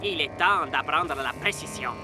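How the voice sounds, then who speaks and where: A man's voice: fairly high pitch, moderate pace, loud music behind the voice, lightly acted. A man voicing a short, stern cartoon football master who commands and challenges theatrically. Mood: instructing. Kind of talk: cartoon dub acting.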